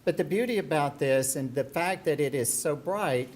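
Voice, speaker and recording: A man's voice: fairly high pitch, moderate pace. A middle-aged man with a Southern US accent giving a business presentation from a conference podium, talking conversationally with frequent ums and restarts. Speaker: startup executive presenting.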